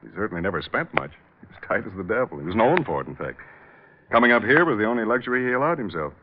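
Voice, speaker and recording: An actor playing a polite, slightly hesitant lodge owner in an old-time radio drama. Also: male voice, on the low side, big pitch swings, very fast, slight background noise. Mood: guarded, affable. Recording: dull vintage radio broadcast recording.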